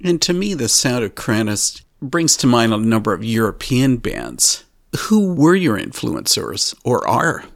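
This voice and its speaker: Gravelly interviewer guy, pitch jumps around a lot, dry close sound. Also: big pitch swings, measured pace, no echo. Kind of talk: interview questions.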